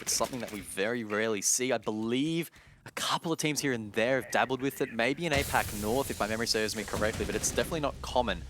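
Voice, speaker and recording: A low-voiced man commentates an esports match live. He talks through tactics in a wordy, analytical way. Faint gunfire and clicking from the game sit behind his voice. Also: fairly bright tone, slight background noise. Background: video game gunfire.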